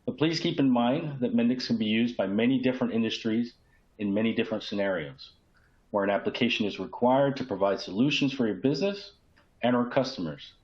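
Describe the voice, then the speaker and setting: A man's voice: medium pitch, brisk, darkish tone. A man hosting a business webinar as a presenter. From San Juan, Puerto Rico. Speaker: host, key account manager. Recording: roomy echo, dull muffled sound.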